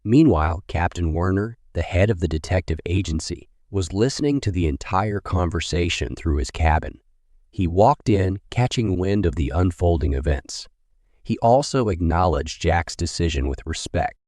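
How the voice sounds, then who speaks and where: Deep-voiced man narrating crime drama. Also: expressive, normal speed, a little mellow, dry recording, clean studio sound.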